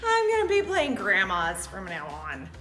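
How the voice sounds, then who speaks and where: A middle-aged woman talks casually about her past as a stage actress. Her pitch swings widely in a playful, theatrical way. Music plays clearly behind her voice. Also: a little slow. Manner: animated, theatrical, jokey.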